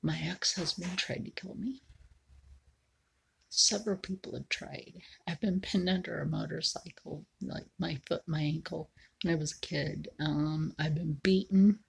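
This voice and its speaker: Slow, husky, gravelly woman in her forties. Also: deep voice, steady tone, poor audio. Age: 40s. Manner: slow, frequently drops into a whisper. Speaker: video creator. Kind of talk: casual livestream chat while drawing.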